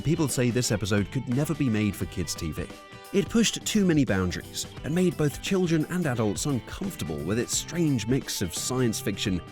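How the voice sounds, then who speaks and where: A man's voice: medium pitch, darkish tone. A man reading scripted narration for a countdown video. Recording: music under the voice.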